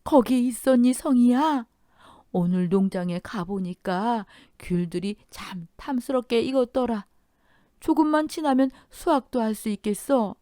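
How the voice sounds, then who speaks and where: Lady doing a frail old mother-in-law on her deathbed, tender n wistful, real slow, pitched up from her narration. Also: lively intonation, mellow, no room sound, clean studio sound. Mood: wistful, dreamy. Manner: slow, drawn-out, sentimental reminiscing.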